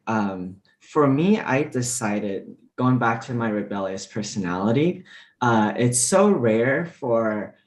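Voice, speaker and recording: A man whose first language is Mandarin, speaking as a diplomat on a panel discussion, relaxed and full of fillers. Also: medium pitch. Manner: relaxed, many fillers and restarts.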